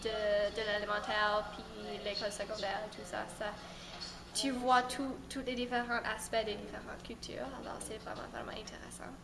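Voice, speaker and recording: A woman's voice: medium pitch, flat delivery, moderate pace, vocal fry, roomy sound. A young woman gives a hesitant, unscripted testimonial, using many fillers. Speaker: former immersion student.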